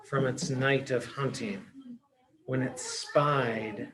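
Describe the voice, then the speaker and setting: A man reading a picture book aloud to young children as a teacher, explaining simply with deliberate pauses. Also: medium pitch, fairly even intonation, crowd noise, poor audio. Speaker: children's storybook reader, early education teacher.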